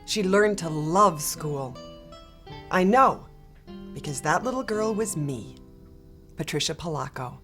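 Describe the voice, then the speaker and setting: A woman reading a children's picture book aloud in a gentle, expressive storytelling manner. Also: low voice, takes it slowly, long pauses. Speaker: storybook reader. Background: soft guitar music.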